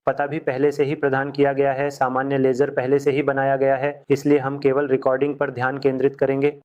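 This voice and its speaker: A man teaching accounting software step by step, repeating each key point as he goes. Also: mid-range voice, completely flat delivery, brisk, muffled recording. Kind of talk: screen-recorded software lesson.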